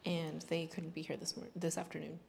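A woman's voice: deep voice, level tone, normal speed, creaky, a little mellow, slight background noise. A woman interpreting a sermon into English, relaying the pastor's words steadily. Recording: hall reverb.

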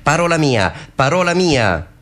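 A man's voice: a little high, very animated, measured pace, a bit bright. A man playing a boisterous working-class potato seller in a radio play, with chanted, repetitive patter. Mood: hawking, cheerful. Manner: repetitive chanted sales calls. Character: boisterous working-class male potato vendor hawking at market.

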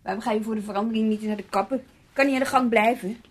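An actress in a radio drama playing an impatient, defensive younger woman who is brisk and irritable. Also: on the high side, breathy edge.

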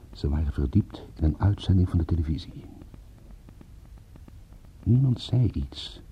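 An actor narrating a radio play as a world-weary, self-mocking private detective, husky and gravelly, wry and deliberate. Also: male voice, low-pitched, a little hiss or hum, heavily acted. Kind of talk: hard-boiled first-person radio drama narration. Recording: tinny narrow-band radio play recording.

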